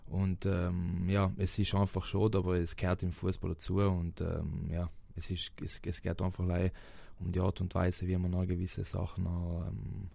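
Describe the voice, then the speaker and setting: A man's voice: sits low, monotone, moderate pace, gravelly, dark tone, dry recording. A young man from South Tyrol with a South Tyrolean German accent, answering interview questions hesitantly with many fillers and restarts. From South Tyrol, Italy. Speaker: interviewed footballer.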